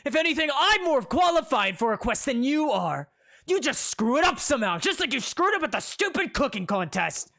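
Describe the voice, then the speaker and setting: A man's voice: sits high, very animated, moderate pace, pitched above the performer's own voice. A storyteller voicing a haughty, spoiled young male prince who huffs and sneers. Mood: indignant. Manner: huffy, sneering and indignant.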